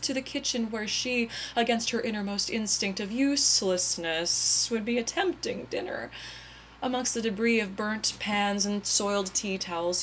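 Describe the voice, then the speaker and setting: A woman's voice: medium pitch, a bit bright, a little hiss or hum, poor audio. A woman giving a melodramatic dramatic reading of overwrought prose, with a theatrical, mock-tragic and emphatic delivery. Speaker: reader.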